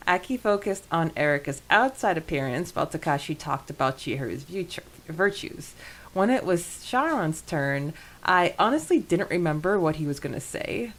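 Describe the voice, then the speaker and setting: A woman's voice: lowish voice, lively intonation, normal speed, low-quality recording. A woman co-hosting a podcast in casual fan discussion, with a gushing, giggly manner. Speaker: podcast co-host.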